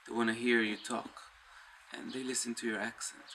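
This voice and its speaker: A man giving a casual, understated personal account, halting as he thinks aloud. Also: mid-range voice, flat delivery, pushed and bright. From Israel. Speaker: documentary interviewee. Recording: noisy ambience, distant microphone.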